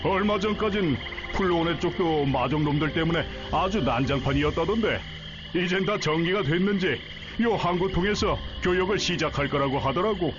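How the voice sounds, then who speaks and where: Fairly high male voice, chatty middle-aged townsman, played by an actor, folksy, blunt, game dialogue, music behind. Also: normal speed, lightly acted. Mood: chatty, proud. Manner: gruff-worded, rustic, familiar, talkative. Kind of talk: Korean game story voice acting. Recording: dull sound under music.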